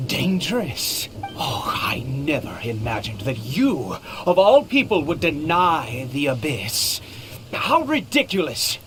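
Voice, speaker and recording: A middle-aged man playing an anguished, fanatical father in a video game, theatrical and intense, with halting dramatic pauses. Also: fairly high pitch, very animated, a bit bright, faint music behind, heavily acted. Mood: bitter, ominous. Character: anguished father turned fanatical abyss devotee, male, theatrical. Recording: noisy game stream capture.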